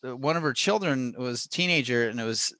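A man's voice: mid-range voice, brisk, pushed and bright, dry recording. A man hosting a podcast interview in casual chat, talking in an informal, rambling way and airing his opinions. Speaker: podcast host interviewing an author.

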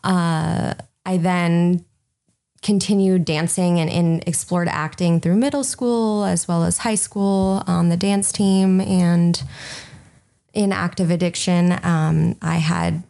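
A woman's voice: on the low side, fairly even intonation, slow, gravelly, warm tone. A woman in her twenties, a podcast guest, talking openly and chattily with plenty of ums. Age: 20s. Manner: open and chatty, many "um"s. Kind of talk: casual interview chat.

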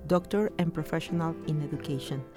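A woman's voice: sits low, fast. A woman hosting a community radio program, reading a formal intro and asking interview questions. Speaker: radio host. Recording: radio voice over faint violin music.

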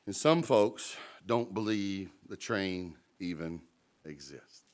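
A man's voice: deep voice, slow pace. A man preaching a sermon with emphasis, calling out to the congregation and joking about his own mumbling. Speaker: pastor.

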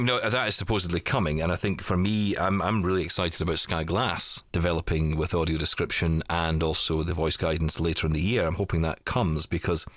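A man's voice: low voice, fairly bright tone, dry recording, clean studio sound. A Scottish man in his forties hosting a podcast, chatting casually and rambling with jokes. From Scotland. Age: 40s. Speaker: podcast host. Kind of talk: casual chat.

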